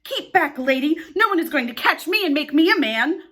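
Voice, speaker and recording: A woman voicing a carefree, defiant boy who refuses to grow up, speaking loudly and brightly. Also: sits high, expressive, a little fast, pitched above the performer's own voice.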